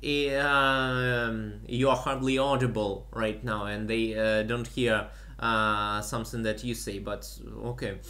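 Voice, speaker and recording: Male host, slow and hesitant, lots of fillers. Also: lowish voice, fairly even intonation, bright, forceful tone. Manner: halting, many fillers, frequent laughs. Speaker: stream host. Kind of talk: casual live-stream chat.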